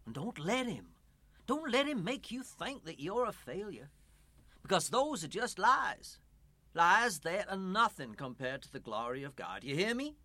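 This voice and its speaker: A man's voice: high-pitched, sing-song, unhurried, pushed and bright, no echo, full character voice. An actor in a radio play playing an earnest, guarded male preacher with a polite, reassuring manner. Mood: earnest, exhorting. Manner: hesitant, polite, then earnest and exhorting.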